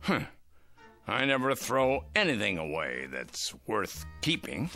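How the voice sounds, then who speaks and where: A grumpy old man, slow and sardonic. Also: soft background music, exaggerated voice. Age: older. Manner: gruff, sarcastic, lively pitch. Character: grumpy old man who loves his radio. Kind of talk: 1950s radio drama acting.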